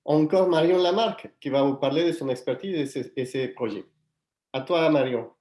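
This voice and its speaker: A man from Mexico with a Mexican Spanish accent introduces his community on a webinar, speaking slowly and carefully. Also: fairly high pitch, darkish tone, echoey room. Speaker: community founder.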